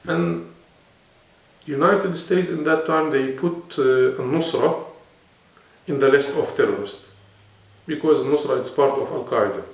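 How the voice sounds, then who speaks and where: A man's voice: mid-range voice, lively intonation, measured pace. Earnest Arabic-accented man, echoey room. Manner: earnest, explanatory, broken English phrasing. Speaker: interview guest, poet. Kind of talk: serious conversational interview. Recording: echoey room, mic at a distance.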